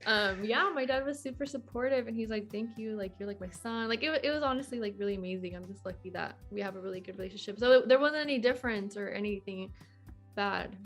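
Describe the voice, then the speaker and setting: A woman's voice: mid-range voice, fast talker. Young woman chatting informally over faint music. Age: 20s. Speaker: podcast guest. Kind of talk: casual conversational interview.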